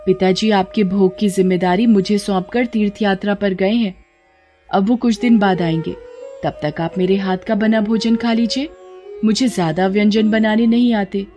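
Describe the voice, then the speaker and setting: A woman's voice: medium pitch, steady tone, normal speed, warm tone, faint music behind, close to an everyday voice. A woman playing an innocent, stubborn, devout young village girl in a devotional story, earnest and simple-hearted. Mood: pleading, stubborn. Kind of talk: Hindi devotional story acting.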